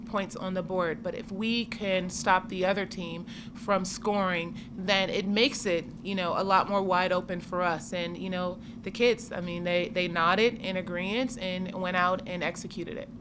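Low-voiced woman coach at a press conference. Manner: measured, steady, many "I mean" and "you know". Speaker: head coach. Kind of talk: postgame press conference answers. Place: press conference room with sponsor backdrop. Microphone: table mic in front of her. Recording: noisy room ambience.

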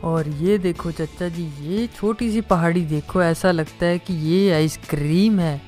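A man's voice: on the high side, a little slow, a little mellow, faint music behind. A man hosting a casual travel video as an off-screen narrator. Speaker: host.

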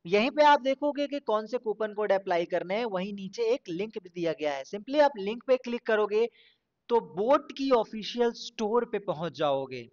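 A man's voice: sits high, expressive, normal speed. Male channel host, casual step-by-step tutorial voice-over, slightly muffled recording. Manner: casual and instructive, mixing English words into Hindi. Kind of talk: screen-recorded shopping tutorial.

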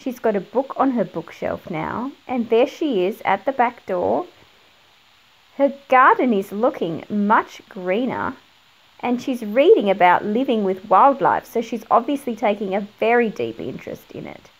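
A woman's voice: a little high, very animated, measured pace, poor audio. A middle-aged woman narrating a picture book for children, explaining things and putting questions to young viewers. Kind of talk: read-aloud narration for children.

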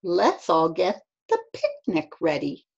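A woman plays a reassuring picture-book mom, breezily dismissive of worries. The delivery is singsong and animated, with very drawn-out phrasing. The sound is slightly dull. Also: lowish voice, vocal fry, full character voice. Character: brisk, reassuring mother, dismissive of her child's fears. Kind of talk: children's picture-book read-aloud.

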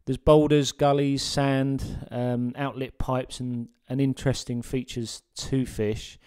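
A man narrating a sea fishing guide as a voice-over, relaxed and full of ums and uhs. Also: mid-range voice, slow, clear, resonant tone.

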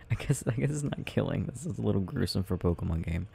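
A man playing a tender, doting boyfriend in an intimate, rambling ASMR roleplay, murmuring softly and affectionately with gentle teasing. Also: fairly low pitch, animated, a little fast, gravelly, slightly rough, heavily acted. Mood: relaxed, gently rambling. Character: tender, doting boyfriend soothing his sleepy partner at night.

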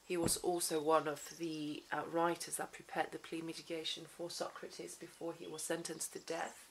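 Woman in her thirties, Swedish as first language, relaxed and musing day-in-the-life vlogger, low-pitched, faint noise behind. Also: normal speed, gravelly, rough recording. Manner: chatty, reflective, many ums and restarts. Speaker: vlogger, human rights lawyer. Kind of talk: casual day-in-the-life vlog. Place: small living room at home, indoors. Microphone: phone or camera at arm's length, then camera on the floor.

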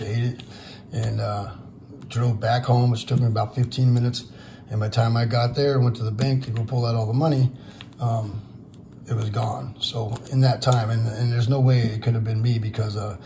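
A hoarse man, hosting a casual vlog and talking in a rambling, frustrated way with many fillers. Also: medium pitch, noisy background. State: losing his voice, hoarse. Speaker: channel host, silver stacker. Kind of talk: casual vlog talk to viewers. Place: indoors at a table over silver bars. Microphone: camera pointed down at the table, speaker off screen.

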